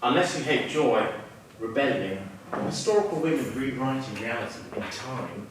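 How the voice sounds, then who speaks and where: A man delivers scripted comic lines on stage with swooping, animated intonation. He sounds far off in a very echoey room with clear noise. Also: medium pitch, normal speed. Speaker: performer in a staged reading.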